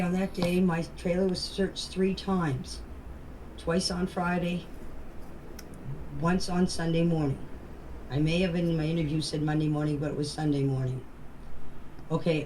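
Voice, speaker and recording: A woman's voice: on the low side, a little mellow. Lady sending voice clips, blunt and kinda defensive, bit of noise behind her. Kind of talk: informal recounting in voice messages. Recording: faintly noisy voice-message recording.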